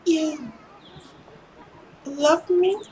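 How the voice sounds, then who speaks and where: A man voicing a shy young village girl, soft and hesitant, in a visual novel. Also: very high voice, very slow, pitched above the performer's own voice, exaggerated voice. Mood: timid, wondering.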